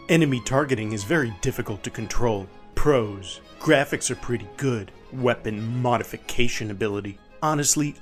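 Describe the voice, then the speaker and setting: A man giving a dramatic, emphatic reading of negative game reviews in a displeased, exaggerated manner. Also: mid-range voice. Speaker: narrator. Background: background music.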